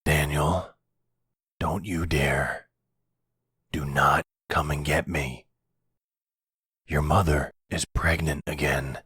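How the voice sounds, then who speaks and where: A man acts out a long-lost mob husband, loving and full of remorse. The voice is extremely low and rough, with a slow, halting, pleading delivery in an intimate roleplay drama. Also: steady tone, fairly bright tone, dry recording, studio quality, heavily acted. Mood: pleading, protective. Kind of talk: ASMR audio drama roleplay.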